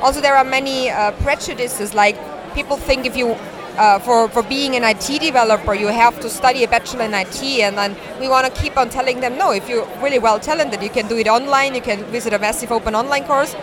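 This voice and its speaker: A woman's voice: fairly high pitch, fast. Austrian-born woman amid a busy conference crowd. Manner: earnest, explanatory, non-native phrasing. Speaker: guest, startup founder. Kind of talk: interview at a conference. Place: conference hall.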